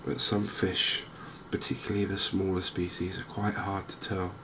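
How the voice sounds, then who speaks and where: A man giving softly spoken, cozy gaming commentary in a gentle, unhurried, musing way. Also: sits low. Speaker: gaming video host. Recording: clear steady ambient background noise.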